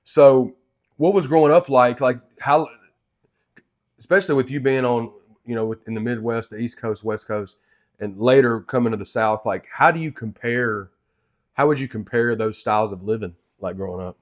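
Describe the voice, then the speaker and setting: A man's voice: medium pitch, normal speed. A man with a mid-range voice hosts a sit-down interview. He talks loosely and eagerly, filling his questions with casual asides. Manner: chatty, enthusiastic, loose fillers like "man". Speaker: interview show host.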